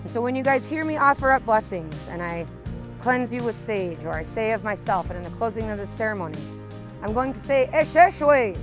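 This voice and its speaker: A woman's voice: a little high, expressive, brisk. A woman leading a ceremony, speaking to a gathered group with big energy. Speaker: ceremony leader. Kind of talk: addressing a gathered crowd. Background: music.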